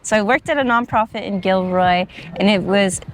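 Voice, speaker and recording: A woman's voice: mid-range voice, moderate pace, a little hiss or hum. A young adult woman, a social media creator, chatting casually as a podcast guest outdoors at a beach park, with plenty of filler words. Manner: chatty, uses many fillers like "like" and "um". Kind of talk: casual interview chat.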